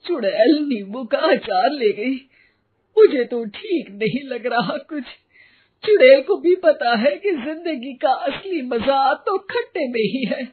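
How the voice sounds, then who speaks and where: Anxious superstitious old Indian-village mother played by a woman: high, unhurried, dramatic scolding, for an audio story. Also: lively intonation. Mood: worried. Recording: tinny phone-like narrow band.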